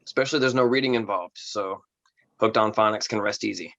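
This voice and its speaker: Stand-up comic, male, from Florida, low smooth voice, dry dull call audio. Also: fairly quick, dry recording. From Florida, USA. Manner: calm, smooth, self-deprecating delivery. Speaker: stand-up comedy contestant. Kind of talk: stand-up set, then taking feedback.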